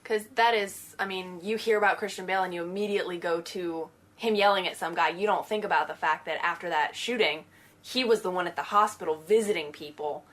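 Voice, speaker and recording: Bright-voiced woman in casual chat. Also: lowish voice, fairly quick. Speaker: podcast co-host.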